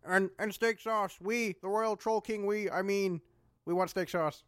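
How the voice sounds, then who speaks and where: A woman's voice: medium pitch, fairly even intonation, a little slow, no echo, clean studio sound, natural voice. Woman playing a pompous bumbling goblin Troll King. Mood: demanding. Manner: pompous, stumbling over own claims. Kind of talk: comic fantasy audio drama acting.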